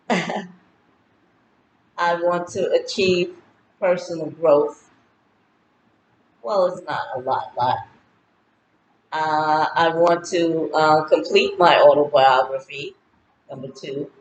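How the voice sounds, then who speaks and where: A woman's voice: sits low, slow, long pauses, a little hiss or hum. A woman in her sixties talking casually to her viewers, rambling through a list of goals with lots of fillers. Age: 60s. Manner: chatty, rambling, lists goals with many fillers. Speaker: vlogger. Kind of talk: casual birthday monologue to camera. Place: home in Ghana. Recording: roomy echo with faint ambience.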